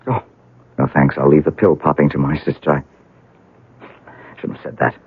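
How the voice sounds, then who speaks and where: An actor playing a grieving, wry and bitter heir to a wealthy house in a 1970s radio drama, speaking haltingly. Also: male voice, extremely low pitch, little pitch movement, husky, dry recording. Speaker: actor in a radio mystery play. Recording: narrowband old radio broadcast, dry.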